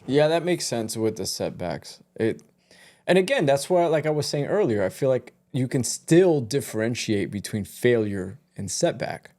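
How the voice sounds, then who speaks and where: A man's voice: mid-range voice, sing-song, moderate pace, darkish tone. A man co-hosting a casual podcast, easygoing and joking, often backing up his partner with short agreements. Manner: easygoing, backchannels a lot, jokes around. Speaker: podcast co-host. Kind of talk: casual chat.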